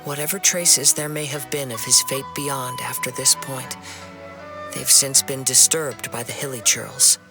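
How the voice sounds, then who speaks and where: A man playing a calm, perceptive young wandering swordsman in a video game, speaking in a measured, formal and earnest way. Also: mid-range voice, vocal fry. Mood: grave, thoughtful. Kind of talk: video game cutscene acting. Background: game music.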